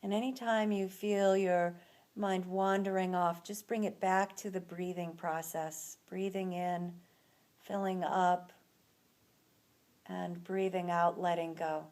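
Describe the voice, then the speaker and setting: A woman leading a guided relaxation as an instructor, speaking in a soothing, unhurried manner with long pauses between phrases. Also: lowish voice, steady tone, a little mellow.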